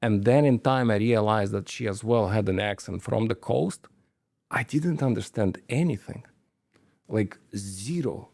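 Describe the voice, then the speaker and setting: A man's voice: on the low side, measured pace, vocal fry, a little mellow, no echo, clean studio sound. A man, a company manager telling stories in an interview, hesitant, with many fillers and restarts. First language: Romanian. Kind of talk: conversational interview storytelling.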